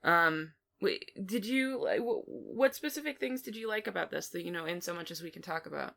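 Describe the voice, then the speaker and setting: A woman's voice: on the low side, animated, a little fast, gravelly, pushed and bright. A woman co-hosting a film review podcast, talking casually and informally with some mild swearing. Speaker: podcast co-host reviewing films.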